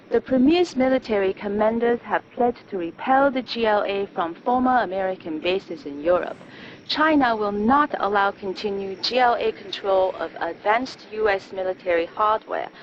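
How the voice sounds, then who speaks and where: A woman playing a composed, patriotic state-television anchor, reading a news report in a formal, measured, official manner. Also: mid-range voice, muffled recording, slight background noise, lightly acted. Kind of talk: scripted TV news report acting.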